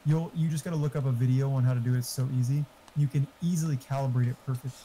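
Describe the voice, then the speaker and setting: A man's voice: medium pitch, steady tone, racing, warm tone, poor audio. A man chatting casually over an online game, talkative and profane, explaining tech. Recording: faint background noise.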